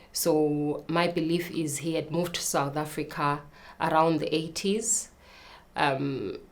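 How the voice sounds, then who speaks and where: Slow, low-voiced woman from Zimbabwe. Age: 30s. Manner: slow, careful, with many pauses and ums. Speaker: guest. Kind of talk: personal appeal, telling her story.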